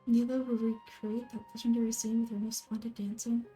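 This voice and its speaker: A woman plays a frail young woman from a fantasy game. The voice is mellow and fairly high, with soft, measured delivery. The temper is gentle, wistful and accepting, over background music. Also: level tone, close to an everyday voice. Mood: hopeful.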